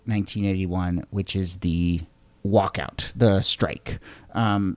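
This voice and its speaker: A man's voice: lowish voice, darkish tone, no echo. Male podcast co-host, steers the conversation and passes questions along, casual hedging. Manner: guides the talk, hands questions to co-hosts, hedging "you know". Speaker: co-host and moderator. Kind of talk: conversational history podcast discussion.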